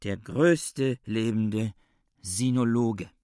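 Slow-spoken, stubborn scholar. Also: male voice, on the low side, warm tone, dry recording, clean studio sound. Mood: proud, insistent. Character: adult male scholar, proud, stubborn, confused under interrogation. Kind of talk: German radio drama acting.

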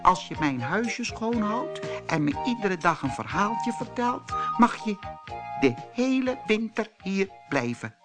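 A man's voice: sits high, sing-song, takes it slowly, over music, voice pushed up, exaggerated voice. A man voicing a motherly, bossy female field mouse in a fairy tale, coaxing and matter-of-fact. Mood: kindly coaxing.

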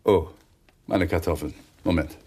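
Guarded young lawyer, low voice. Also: male voice, a little slow, a little mellow, a little hiss or hum. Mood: stiffly courteous. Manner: evasive, defensive, stiffly courteous. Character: guarded, uneasy young male lawyer under questioning. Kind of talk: German radio crime drama acting.

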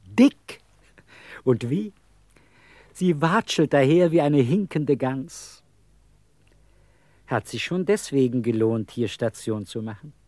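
Bitterer Landstreicher, langsam und wechselhaft betont. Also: male voice, mid-range voice, expressive, darkish tone, no room sound. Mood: mocking. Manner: halting, confessional, dramatic, sudden emphasis. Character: homeless old male drifter and beggar, bitter, wry. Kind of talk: German radio play acting.

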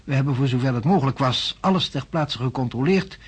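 An actor playing a self-assured, skeptical male physics professor in a radio drama, husky and pompously lecturing. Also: mid-range voice, fairly quick, natural voice. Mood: assertive.

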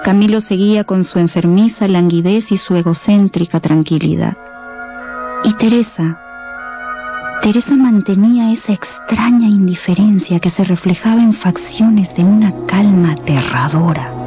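A woman narrator telling a scripted story for a radio novel in a measured, expressive, dramatic way. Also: mid-range voice, soft background music.

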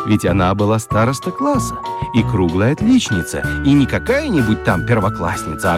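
A man narrating a children's radio story expressively, with theatrical emphasis and dramatic pauses. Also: medium pitch. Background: music.

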